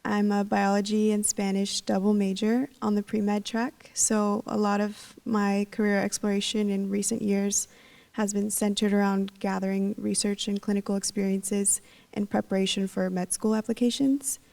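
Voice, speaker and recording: Casting: young woman, student panelist, mellow gravelly voice, even delivery, a bit far from the mic. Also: medium pitch, moderate pace. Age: young adult. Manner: steady, frequent "um". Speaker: student peer career advisor. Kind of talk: panel introduction.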